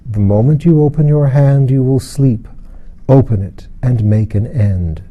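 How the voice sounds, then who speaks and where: A man's voice: medium pitch, unhurried, narrow, tinny audio, natural voice. A man voicing a stern, solemn woman in a storybook, urging earnestly. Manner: earnest, exhorting.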